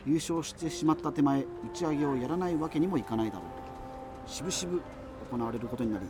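A man's voice: a little high, quick pace, heavy background noise, close to an everyday voice. A man playing a wistful, self-effacing radio show host who rambles through a scripted monologue. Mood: presenting, low-key. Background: music.

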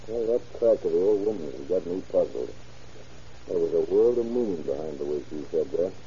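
An actor playing an inquisitive, courteous American sheriff's deputy in an old-time radio drama. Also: male voice, low voice, expressive, brisk, dark tone. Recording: muffled, noisy old radio recording.

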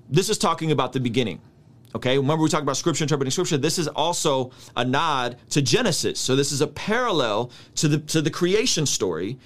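A man in his thirties, a native Russian speaker, giving an energetic, slangy Bible study lesson. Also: medium pitch. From United States. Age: 30s. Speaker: Bible teacher. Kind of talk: casual video teaching.

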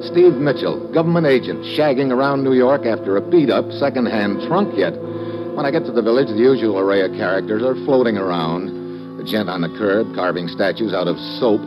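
An actor playing a tough American government agent in an old radio drama, speaking in wry, clipped slang. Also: male voice, lowish voice, natural voice. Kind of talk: old-time radio detective drama acting with narration. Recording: muffled vintage radio recording with music.

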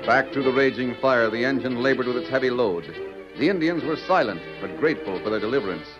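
A man's voice: mid-range voice, fast talker. A man narrating a radio adventure in a dramatic, scripted old-time style, grave and vivid. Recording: dull old radio, loud music behind.

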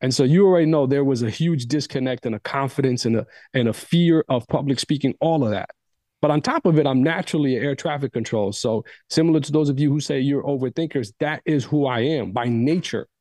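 A man's voice: mid-range voice, brisk, a little mellow, no echo. Emphatic man, native Arabic speaker. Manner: emphatic, repeats phrases for stress. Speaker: podcast guest. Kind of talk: motivational talk.

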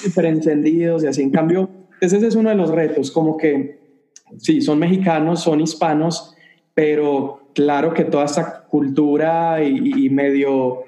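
A man's voice: fairly high pitch, steady tone, moderate pace, warm tone. Man from Colombia in a roomy space. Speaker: youth pastor. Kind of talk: casual interview answer. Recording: roomy echo.

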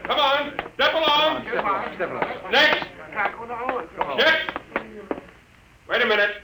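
A curt checkpoint guard. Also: male voice, very high-pitched, expressive, slow pace, reverberant, heavily acted. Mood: brusque. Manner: clipped orders. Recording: noisy 1950s radio drama recording.

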